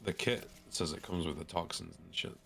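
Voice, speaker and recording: A man with a gravelly voice chatting casually in character as a player in a tabletop roleplaying game. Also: low voice, normal speed, fairly bright tone.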